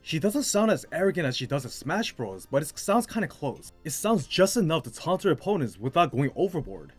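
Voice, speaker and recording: A man's voice: a little high, sing-song, fairly quick, breathy edge. A man delivering scripted narration as the host of a video game commentary. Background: music.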